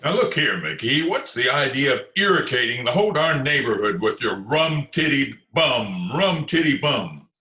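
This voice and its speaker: A man's voice: mid-range voice, expressive, normal speed, few pauses, sharp tone. A man playing a pompous, blustering, easily irritated neighbour in an old-time radio comedy. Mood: indignant. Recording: roomy, echoey internet call recording.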